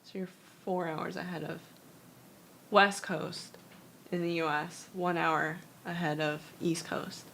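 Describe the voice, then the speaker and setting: Slow, chatty woman streaming. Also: lowish voice, flat delivery, creaky, noisy background. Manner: chatty, loose, reads and translates viewer text; halting non-native Spanish. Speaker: stream host. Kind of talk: casual livestream art critique chat.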